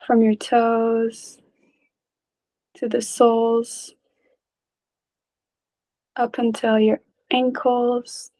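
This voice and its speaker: A woman leading a guided meditation in a gentle, calm, unhurried way, leaving long pauses between short phrases. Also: fairly high pitch, low-quality recording. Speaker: meditation teacher.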